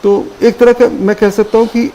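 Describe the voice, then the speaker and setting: A man speaking as a medical expert on a call-in show, explaining precautions in a calm, patient, instructive way. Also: high voice, normal speed, dull audio, slight background noise. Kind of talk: explaining health advice.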